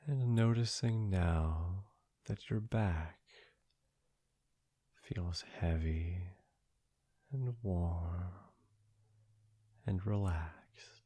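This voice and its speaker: A man leading a guided sleep meditation in a soothing, gentle, hushed voice, breathy and often trailing into a near-whisper, with long pauses between short phrases. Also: low voice. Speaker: meditation guide, podcast host.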